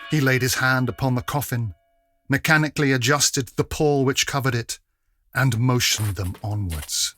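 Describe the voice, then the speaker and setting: A man's voice: medium pitch, moderate pace, fairly bright tone. Grave male narrator, faint noise behind. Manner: grave, solemn. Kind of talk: dramatic scripted narration.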